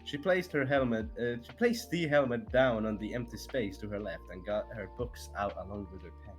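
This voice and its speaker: A man's voice: lowish voice, normal speed, soft background music. A man giving an amateur dramatic narration of a story, now and then stumbling over the words. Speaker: reader of fan fiction on a livestream.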